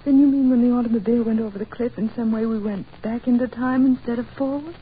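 A woman's voice: fairly high pitch, fast talker, slightly rough. An actress playing an anxious, bewildered woman, pleading and urgent, in a 1940s radio horror drama. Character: anxious, bewildered woman trapped in danger, tender companion. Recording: tinny, noisy 1940s radio recording.

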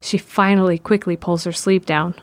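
A woman's voice: lowish voice, steady tone, normal speed, dry recording. A woman reading scripted narration for an audio drama. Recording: music behind the voice.

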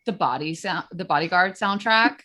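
A woman's voice: fairly low pitch, moderate pace, sharp tone. A woman with a breezy, matter-of-fact way of talking, improvising a character in a comedy sketch.

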